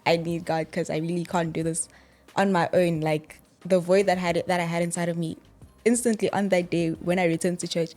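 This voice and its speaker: A woman's voice: lowish voice, fairly even intonation, a little fast, darkish tone, faint music behind. A woman, chatting casually and expressively as a guest and acting out quoted remarks. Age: early 20s. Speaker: interview guest. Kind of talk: casual chat, personal storytelling.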